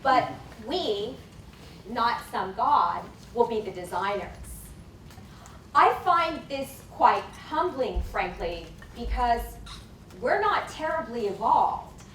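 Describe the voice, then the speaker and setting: A woman's voice: a little high, sing-song, a bit bright. Woman conference speaker, emphatic academic delivery, distant and echoey with faint hiss. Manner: emphatic, deliberate lecturing. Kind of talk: academic lecture. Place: conference hall. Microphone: lapel mic. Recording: echoey hall from far off, faint noise.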